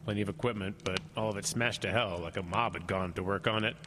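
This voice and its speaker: A man's voice: fairly low pitch, steady tone, fast talker, lightly acted. An actor playing a grave, suspicious man in an audio drama, probing and serious. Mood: grave, unsettled. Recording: radio drama with storm ambience noise.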